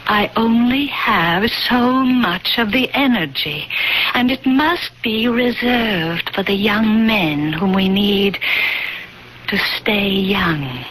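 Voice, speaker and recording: A woman's voice: mid-range voice, slow, a bit bright, slight background noise, poor audio. An actress playing an anxious young woman in a 1970s radio drama, speaking hesitantly and dramatically, on an old broadcast recording. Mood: intense, pleading. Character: anxious young woman hiding a supernatural secret.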